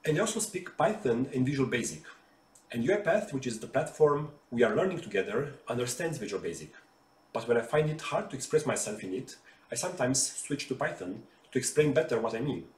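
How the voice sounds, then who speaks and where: A man's voice: mid-range voice, expressive, a little fast, distant mic. Man explaining to kids in an echoey room. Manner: simple, patient explanations with hand gestures. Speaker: RPA developer teaching kids. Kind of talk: friendly explainer for children. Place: bright living room with sofa. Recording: roomy echo, voice from off-mic.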